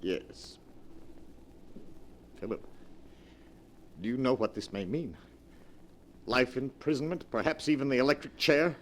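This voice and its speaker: An actor playing a calculating, persuasive brother-in-law in a 1940s radio crime drama, with a controlling, theatrical manner. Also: male voice, medium pitch, lively intonation, a little slow, long pauses, lightly acted. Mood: grave alarm. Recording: noisy vintage 1940s radio broadcast.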